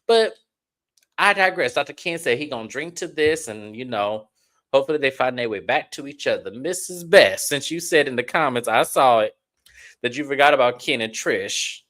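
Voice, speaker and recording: A man hosting a live stream, chatting casually to viewers as he recaps a reality show episode. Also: fairly high pitch. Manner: chatty, animated, addresses viewers directly. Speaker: host.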